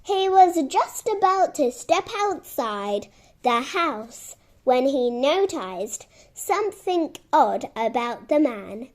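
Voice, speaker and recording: A woman reading a scripted children's story as narrator, with deliberate, expressive delivery. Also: sits high.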